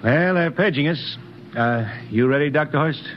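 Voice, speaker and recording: A man's voice: fairly high pitch, normal speed, close to an everyday voice. A man playing an edgy, homesick young spaceship lieutenant, restless and colloquial, in 1950s radio drama style. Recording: muffled 1950s radio recording with hiss.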